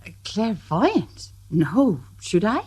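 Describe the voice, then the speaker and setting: An anxious, polite wife. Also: female voice, mid-range voice, big pitch swings, slow pace, a little mellow, breathy edge, heavily acted. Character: anxious wife of a missing man. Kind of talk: radio drama acting.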